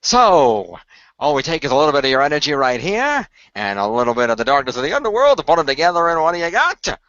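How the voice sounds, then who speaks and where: A man acts out a gleeful villain god of the underworld for a radio play. He is showy and taunting, with a bright, forceful voice. The sound is close and dry. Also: on the high side, wildly swooping pitch, quick pace, no echo, highs cut off. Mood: smug taunting.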